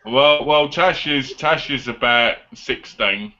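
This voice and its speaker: Bright, sharp young man, lo-fi. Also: medium pitch, slow pace. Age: 20s. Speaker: online chat show host. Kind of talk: casual live chat. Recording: dull lo-fi online call audio.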